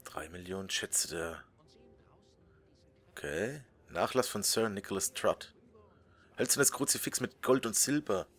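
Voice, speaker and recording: A man's voice: lowish voice, expressive, unhurried, pauses often, a bit bright. A man casually commenting on his gameplay, joking and muttering asides, sometimes dropping to a whisper while he reads. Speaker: let's play host.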